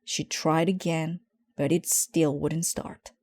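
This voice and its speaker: A woman reading a children's story aloud in a measured, expressive way. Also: low-pitched, no echo. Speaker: storybook reader.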